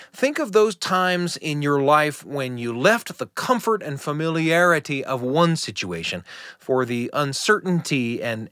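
A middle-aged man hosting a radio storytelling show, reading a script in an expressive, conversational way. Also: on the high side, no echo, studio quality. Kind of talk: scripted narration. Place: dry studio.

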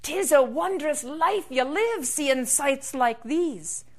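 A woman's voice: sits high, animated, slow pace, a bit bright, full character voice. A woman voicing a kindly, eager male leprechaun shoemaker in a children's story, exclaiming in old-fashioned Irish turns of phrase. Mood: awed.

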